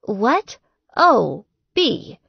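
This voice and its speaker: A wary young heroine of an audiobook romance, acted by a woman narrator. She speaks with a teasing edge at an unhurried pace. The recording is dry and a little muffled. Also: on the high side, very animated, creaky, breathy edge, no echo, pitched above the performer's own voice, full character voice. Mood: flustered.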